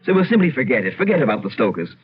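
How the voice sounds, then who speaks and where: An actor playing a pompous Irish gentleman landowner, emphatic and often interrupting himself, in an old-time radio drama. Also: medium pitch, sing-song, racing, natural voice. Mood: indignant. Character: blustery Irish gentleman landowner, imperious, skeptical yet uneasy. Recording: muffled, hissy old radio recording.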